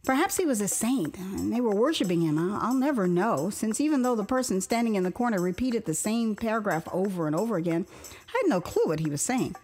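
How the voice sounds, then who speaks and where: Expressive American woman storyteller over music. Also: medium pitch, brisk, slightly rough. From Houston, Texas, United States. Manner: expressive and theatrical, with amused asides. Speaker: author reading her own memoir. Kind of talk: dramatized first-person storytelling narration.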